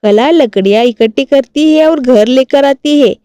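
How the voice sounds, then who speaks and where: High-voiced woman narrating a story. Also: unhurried, warm tone, smooth, dry recording. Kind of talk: scripted cartoon story narration.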